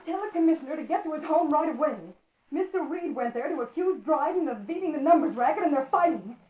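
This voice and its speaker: A woman's voice: high-pitched, expressive, fast talker. An actress playing an urgent young female reporter calling the police in a 1940s radio drama. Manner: urgent, breathless. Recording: roomy, dull old radio recording.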